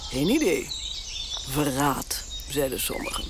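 A woman's voice: low-pitched, wildly swooping pitch, a little slow, noisy background, heavily acted. An actress playing an elderly, stubborn queen speaking to her granddaughter, dry and imperious. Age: older.

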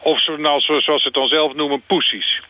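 Earnest, bright-voiced deadpan official. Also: male voice, on the high side, a little fast, no room sound, low-quality recording. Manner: formal, matter-of-fact, deadpan about slang. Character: earnest male director of gangster rap quality label. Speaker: director interviewed by phone. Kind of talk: mock radio phone interview.

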